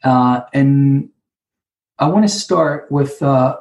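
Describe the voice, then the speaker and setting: A man with a Hebrew accent, Hebrew being his first language, sharing about recovery and sponsorship at an online conference, speaking haltingly and repeating words as he searches for them. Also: medium pitch, darkish tone, rough recording. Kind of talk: personal share at an online conference. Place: sitting alone, remote.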